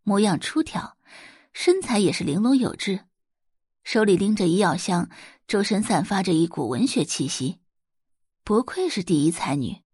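A woman's voice: medium pitch, sing-song, moderate pace, a little mellow, slightly rough, no echo, studio quality. A woman narrating a scripted audio drama as a storyteller, in a dramatic reading style.

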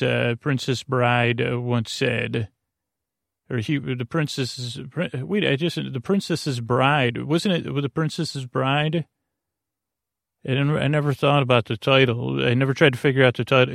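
A man with a creaky, gravelly mid-pitched voice hosts a bedtime podcast. He meanders haltingly through his monologue, often cutting himself off and circling back. The sound is dry and close, with very little room in it. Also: no room sound. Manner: meandering, halting, self-interrupting. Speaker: podcast host.

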